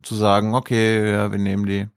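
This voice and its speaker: A man's voice: lowish voice, monotone, brisk, gravelly, smooth, no echo, studio quality. A man from Berlin co-hosting a casual chat, earnest and sometimes searching for words. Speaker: podcast co-host.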